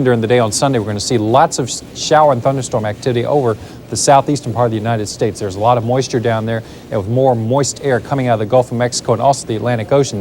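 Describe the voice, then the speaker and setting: A man's voice: medium pitch, a little mellow, a little hiss or hum. A man presenting a live television weather forecast in a loose, ad-libbed way, with the odd filler. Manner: brisk, loose delivery with fillers.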